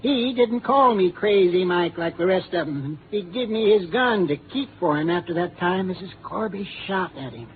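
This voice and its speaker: An actor playing a childlike, menacing old ex-soldier who rambles and repeats himself, in 1950s radio drama style. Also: male voice, high voice, lively intonation, moderate pace, few pauses. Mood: confiding, menacing. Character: simple-minded, menacing old man, a crazed ex-soldier. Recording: tinny, noisy 1950s radio broadcast.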